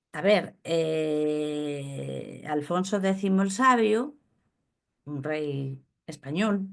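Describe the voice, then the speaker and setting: A woman giving an explanatory lecture, unhurried, pausing with fillers and checking in with her listeners. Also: low-pitched, gravelly. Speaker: speaker on commons law. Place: Galicia, Spain.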